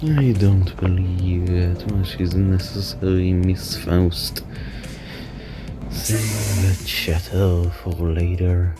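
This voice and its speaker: A man voicing a stern, commanding guide in a game fan dub, with formal, deliberate and authoritative delivery. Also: low-pitched, fairly even intonation. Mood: commanding, dry. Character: stern, commanding male guide of a grim crew. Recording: music behind the voice. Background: music.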